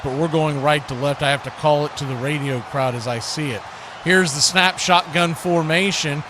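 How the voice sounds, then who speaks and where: Male play-by-play sports announcer, slightly high voice, folksy and excitable, noisy live recording. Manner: folksy, excitable on big plays. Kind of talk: live football play-by-play commentary with asides to viewers. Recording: clear background noise.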